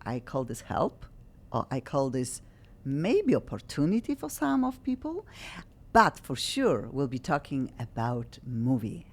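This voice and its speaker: Lady hosting a radio show, low voice, stops and restarts a lot. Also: big pitch swings. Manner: halting non-native English with many restarts.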